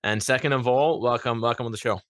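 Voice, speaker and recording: A man's voice: mid-range voice, fast, a bit bright, no echo. A man from Hungary hosting a casual interview podcast, speaking with frequent hesitations and fillers. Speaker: podcast host.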